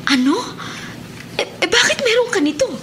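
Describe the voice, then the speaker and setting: High, emotional Filipina mother. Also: sing-song, normal speed, fairly bright tone, a little hiss or hum, full character voice. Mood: shocked. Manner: hesitant, stammering, emotional. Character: remorseful middle-aged mother who long ago left her son. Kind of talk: melodramatic Filipino radio drama acting.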